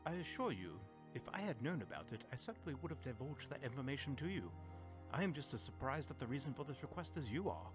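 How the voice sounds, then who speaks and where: Courteous, principled male officer acted by a man, formal and measured wording, lively pitch movement, music underneath. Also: close to an everyday voice. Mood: earnest, cautious. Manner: stiffly polite, formal, measured. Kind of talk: audio drama acting.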